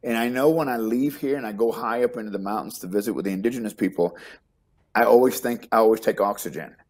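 Texan man, low voice, video call. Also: quick pace. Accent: Texas. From Texas, United States. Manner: warm, rambling, repeats words when starting sentences. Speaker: guest. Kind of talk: casual interview chat. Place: home room, on video call.

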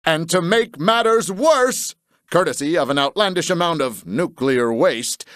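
A man playing a showy TV race-show announcer in a cartoon game, with a theatrical, drawn-out delivery. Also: on the high side, lively intonation, a bit bright, dry recording, studio quality. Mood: dramatic.

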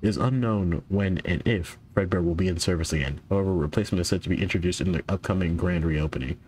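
A young man with a deep, low voice plays through a horror game. He reads in-game notes aloud with casual stumbles and self-corrections, and his voice is worn and giving out. A faint hiss runs under the recording. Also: quick pace, darkish tone. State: losing his voice. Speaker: gaming YouTuber.

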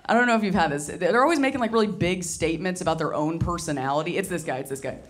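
A young woman from Tennessee performing a stand-up comedy set into a handheld mic, wry and deadpan, slipping into mocking voices for the people she quotes. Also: on the low side, rapid-fire. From Southern US, Tennessee. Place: small candy-shop comedy venue with live audience. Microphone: handheld microphone.

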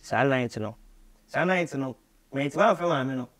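A young man with a Ghanaian accent speaks at a medium pitch in an interview. He tells his story conversationally, circling back over phrases as he goes. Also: expressive, moderate pace, a bit bright. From Ghana, Kumasi. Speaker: musician guest. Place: small radio studio, indoors.

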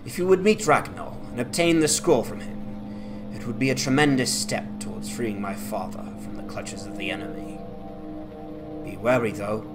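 A man's voice: mid-range voice, moderate pace, over music, lightly acted. A man voicing a resolute, earnest woman, a lost leader's daughter, in formal fantasy game dialogue. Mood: resolute, grave.

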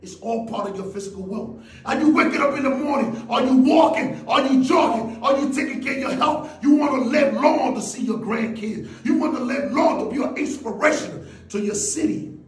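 A man's voice: extremely high pitch, moderate pace. Male motivational speaker, fiery, preachy delivery, reverberant room sound. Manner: fiery, preachy, hammering rhetorical questions. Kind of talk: shouted motivational speech.

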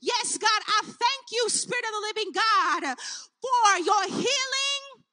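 A woman's voice: very high voice, slow pace, pushed and bright, dry recording. Woman praying fervently in tongues. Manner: impassioned, crying out, voice breaking with emotion. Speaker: prayer leader. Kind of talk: fervent spoken prayer with speaking in tongues.

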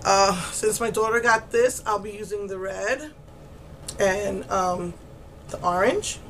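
A woman's voice: medium pitch, fairly bright tone. A middle-aged woman talks through a makeup tutorial in a loose, rambling way, full of ums. Her voice is stuffy and congested as she recovers from laryngitis. She sits close to the camera in a small room, with a faint hiss behind her. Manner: chatty, rambling with many ums. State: congested, getting over laryngitis. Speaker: makeup vlogger. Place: indoors, small room against a pale blue wall. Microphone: camera close to the face.

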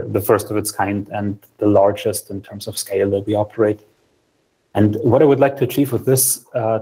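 A young man gives a technical talk from a small room over a webcam, his voice sitting fairly low. He explains in a steady, methodical way, dropping in ums as he goes. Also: breathy edge, low-quality recording. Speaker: software engineer presenting a research platform. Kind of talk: technical conference talk over video call. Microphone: video call, webcam framing.

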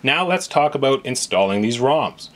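A man with a mid-pitched voice narrates an instructional tech walkthrough. He explains each point as a tutorial host would, with a reassuring way of putting things. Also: lively intonation, moderate pace, fairly bright tone.

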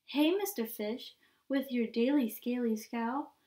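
A woman voicing a gentle male jellyfish in a picture book, mildly scolding his friend. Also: a little high, measured pace, natural voice. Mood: chiding.

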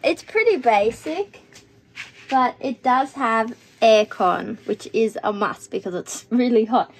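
Bubbly higher-voiced woman travel vlogger. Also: slow, slight background noise. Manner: bright, enthusiastic and chatty. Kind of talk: travel vlog narration. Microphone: action camera held at arm's length.

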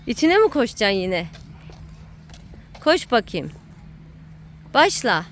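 A woman's voice: a little high, sing-song, takes it slowly. A woman giving casual, playful running commentary outdoors, encouraging and teasing a child at play. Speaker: adult filming and cheering on a child at play. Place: outdoor playground. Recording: noisy outdoor home-video recording.

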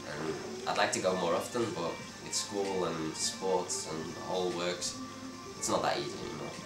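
Deep-voiced young man in a bar. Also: moderate pace. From Belgium. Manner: relaxed, hesitant, frequent restarts. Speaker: interviewee. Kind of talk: casual interview answers. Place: dim bar with red walls, indoors. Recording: roomy, distant sound with loud music behind.

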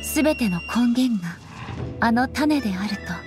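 A woman's voice: on the high side, animated, normal speed, music in the background, close to an everyday voice. Woman voicing a solemn, dignified goddess ruler. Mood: solemn, reflective. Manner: formal, polite, composed. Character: solemn, dignified female deity ruler, calm and earnest. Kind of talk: Japanese anime game voice acting.

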